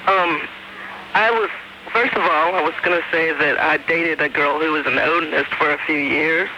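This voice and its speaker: Male phone-in caller, higher-than-usual voice, casual and hesitant, dull noisy broadcast. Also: normal speed. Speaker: caller to a radio show. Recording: muffled, noisy phone call over shortwave radio.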